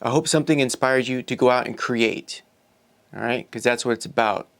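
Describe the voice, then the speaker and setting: A man's voice: medium pitch, fairly quick, creaky, fairly bright tone. A man in his forties talking casually and reflectively as a video creator, sharing personal thoughts. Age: 40s. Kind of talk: casual talk to viewers and reflective personal narration.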